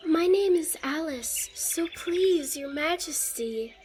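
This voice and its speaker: A woman plays a curious, well-mannered storybook girl with some pluck. Her voice is very high and unhurried, measured in its questions, with faint music behind it. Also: lively intonation, darkish tone. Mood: curious, polite. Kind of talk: audiobook character acting.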